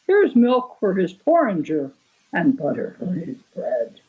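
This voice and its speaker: A woman's voice: fairly low pitch, wildly swooping pitch, unhurried, slightly rough, narrow, tinny audio, exaggerated voice. Woman as a drowsy, kindly storybook cow. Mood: sleepy, soothing. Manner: drowsy, soothing. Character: sleepy, kindly female Alderney cow.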